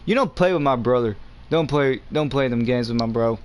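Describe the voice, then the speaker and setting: A man's voice: medium pitch, a little fast. A young man with a Southern US accent, hosting a gaming video and talking earnestly to his viewers over a headset. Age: young adult. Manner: earnest, talks straight to viewers as "guys". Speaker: gaming channel host. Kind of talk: casual chat while playing a game.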